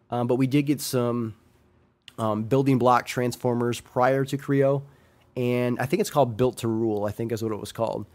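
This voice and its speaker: A man's voice: medium pitch, darkish tone. A young adult man, slightly nasal and congested from a sinus infection, hosting a casual solo podcast and rambling with many ums and restarts. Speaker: podcast host.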